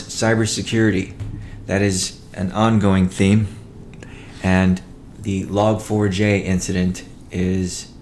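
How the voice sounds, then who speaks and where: A man presenting a company update as a chief executive, in a measured, matter-of-fact way with halting phrasing. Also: low-pitched, creaky, slight background noise. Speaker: company CEO hosting a weekly call. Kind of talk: business update presentation, partly read from notes.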